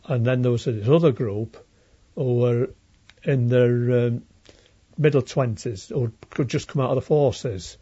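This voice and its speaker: Elderly Yorkshire man, husky and dark-toned. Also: mid-range voice, unhurried, no echo. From Leeds, England. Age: elderly, around 80s. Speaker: interviewee, retired railway worker. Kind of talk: unscripted oral history reminiscence. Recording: dull lo-fi interview recording.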